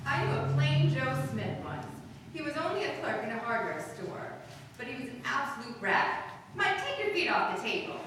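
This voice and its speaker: Wry unflappable photographer gal, old radio play, voice kinda high, noisy echoey stage recording. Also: female voice, very animated. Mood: dry, teasing. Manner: wry, quick-witted. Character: dry, wisecracking female magazine photographer, unflappable. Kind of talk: old-time radio comedy acting. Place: live stage performance in a hall. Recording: very echoey hall, distant mic, noisy.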